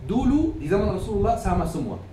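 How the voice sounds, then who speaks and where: A man's voice: high voice, sing-song, fast, crowd noise. A man with an Arabic accent, a native Arabic speaker, giving an Islamic lecture in a didactic way, repeating phrases and answering his own questions. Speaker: Islamic preacher. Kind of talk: religious lecture, teaching with questions to listeners. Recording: echoey, noisy room, distant microphone.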